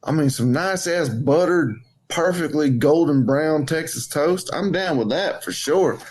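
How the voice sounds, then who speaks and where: A man's voice: fairly high pitch, animated, unhurried, darkish tone. A man chatting casually as a podcast co-host, blunt and joking, with plenty of swearing. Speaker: wrestling coach. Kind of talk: casual banter and storytelling.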